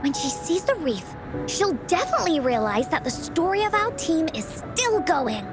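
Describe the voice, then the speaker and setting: A woman voicing a cheerful, earnest little girl in a video game, sweet and childlike, with excitable, gushing delivery. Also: very high voice, normal speed. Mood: hopeful, eager. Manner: excitable, gushing, with gasps and giggles. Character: cheerful, earnest little girl who adores her friends. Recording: clear music behind the voice. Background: game background music.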